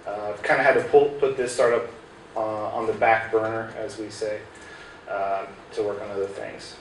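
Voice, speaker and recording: A man's voice: on the low side, level tone, moderate pace. A middle-aged American man giving a casual tech talk to a small group, with lots of "uh" fillers and restarts. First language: English. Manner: chatty, many "uh" fillers and restarts. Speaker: guest speaker at a hackerspace. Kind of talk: informal tech presentation to a small audience. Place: small room in a hackerspace with projector. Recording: roomy distant room sound, faint hiss.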